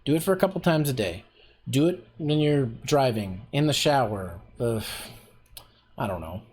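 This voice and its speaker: A man talks casually while teaching voice acting on a stream, chatty and quick to poke fun at himself. His pitch swoops up and down freely. A faint hum of background noise sits under his voice. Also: lively intonation, a little mellow. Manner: chatty, self-deprecating, laughs often. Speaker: voice acting workshop host.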